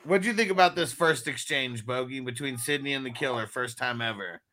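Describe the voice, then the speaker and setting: A man's voice: medium pitch, a little fast, a loud crowd. A man hosting a casual movie review podcast, steering the chat. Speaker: podcast host steering the discussion.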